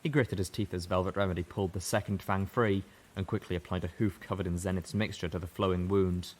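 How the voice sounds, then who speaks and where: A man reading a story aloud in first person, steady and measured. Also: sits low. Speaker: audiobook reader. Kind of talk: first-person narration of fan fiction.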